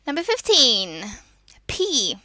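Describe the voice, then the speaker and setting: A woman giving a spoken lesson as a teacher, reading out and explaining in short, deliberate, instructive statements. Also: high voice, huge pitch swings, pushed and bright, no echo.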